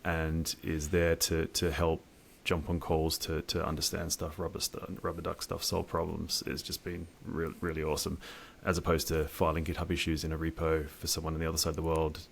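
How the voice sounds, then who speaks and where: A man's voice: very deep voice, monotone, fairly quick, vocal fry, few pauses, a little hiss or hum. A man speaking casually and informally as a guest in an interview about his engineering work.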